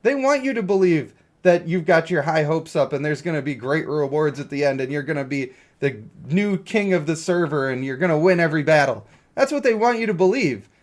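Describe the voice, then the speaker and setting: A male game streamer with a slightly high voice talks casually to his viewers. He is blunt and opinionated, with an exasperated, ranting way of commenting. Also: expressive, brisk, hardly any pauses.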